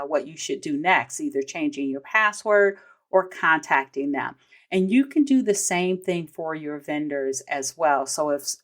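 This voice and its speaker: An American woman hosting an instructional podcast, explaining in a conversational way with emphasis on key points and casual asides. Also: low-pitched. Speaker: podcast host, vendor payments trainer.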